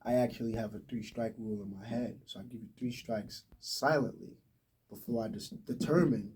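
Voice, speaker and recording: A man's voice: mid-range voice, moderate pace, noisy background. An American man in his twenties talking casually and emphatically in slang on a podcast, picked up slightly from a distance. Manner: talkative, emphatic, slang-heavy.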